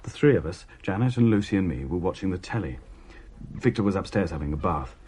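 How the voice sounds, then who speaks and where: A man's voice: deep voice, lively intonation, fast talker, a little mellow, breathy edge. An actor playing a wry family man recounting a haunting in a radio drama, with dry, vivid storytelling. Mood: matter-of-fact. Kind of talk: radio drama narration in dialogue with another narrator.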